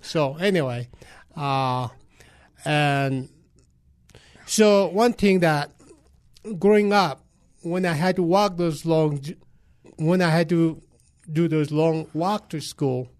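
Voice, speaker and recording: A man's voice: a little high, slow, pauses often, darkish tone. Hesitant Nepali-accented man. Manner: hesitant, many fillers, simple phrasing. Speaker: guest. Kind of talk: interview answers.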